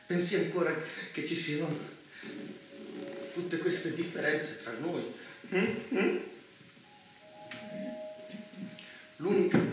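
A man acting an unhinged, taunting long-lost brother in an Italian dystopian stage drama, swinging between hushed hesitation and outbursts. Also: a little high, close to an everyday voice. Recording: echoey room, distant mic, clear background noise.

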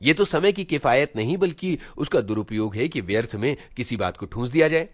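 A man's voice: medium pitch, animated, fairly quick, no echo, natural voice. A man voicing a preachy, self-important schoolboy older brother, lecturing pompously with rhetorical questions in a storybook monologue. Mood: exasperated.

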